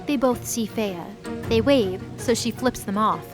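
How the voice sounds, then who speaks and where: Lady narrating a fantasy drama, bit high, clear music under her. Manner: measured storytelling. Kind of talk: scripted audio drama narration. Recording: narration over loud music.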